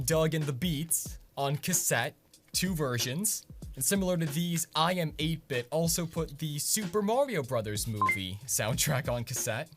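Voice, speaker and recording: A man hosting a scripted explainer vlog in a conversational, punchy way with casual asides. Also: fairly high pitch. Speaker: video host.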